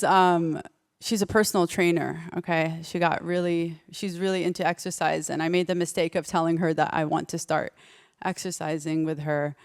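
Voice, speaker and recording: A woman delivers a stand-up comedy set with a smooth, clear voice. She talks conversationally, often breaking off and picking the thought up again. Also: lowish voice. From United States. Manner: conversational, self-interrupting, acts out quotes.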